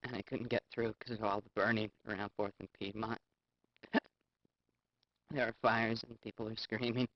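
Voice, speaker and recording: A man's voice: on the low side, little pitch movement, normal speed, pauses often, no room sound, muffled recording, natural voice. A man playing a shaken, injured patient who is short of breath, in a video game. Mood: shaken, hurting. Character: shaken injured man recounting being stabbed. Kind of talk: FMV game acting.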